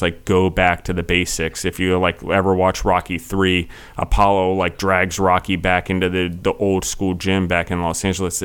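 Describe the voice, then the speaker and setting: Young male podcast host, low and steady, chatty and rambling. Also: clear, resonant tone. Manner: chatty, rambling with many fillers. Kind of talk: casual interview.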